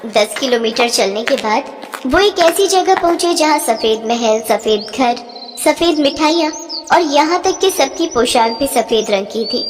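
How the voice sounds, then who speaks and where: A woman's voice: high voice, expressive, unhurried, over music. A woman reading a scripted children's moral story as its narrator. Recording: long reverb, noisy track.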